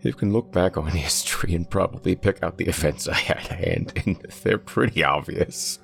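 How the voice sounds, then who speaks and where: A man's voice: sits low, moderate pace, gravelly, fairly bright tone, soft background music, pitched above the performer's own voice, exaggerated voice. A man voicing a crude, remorseful male deity who swears bluntly as he confesses and apologizes. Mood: rueful.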